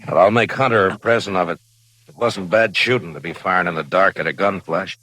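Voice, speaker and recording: Deep, forceful frontier marshal. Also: male voice, brisk, no echo, poor audio. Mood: matter-of-fact. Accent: American. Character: steady, conscientious Old West frontier marshal. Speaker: radio actor. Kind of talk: vintage radio western acting. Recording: vintage radio recording, dry studio sound.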